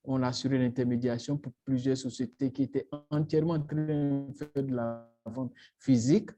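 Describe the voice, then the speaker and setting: A man speaking on a panel discussion in a measured, formal way. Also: mid-range voice, fairly even intonation, warm tone, soft background music. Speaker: panelist, startup advisor.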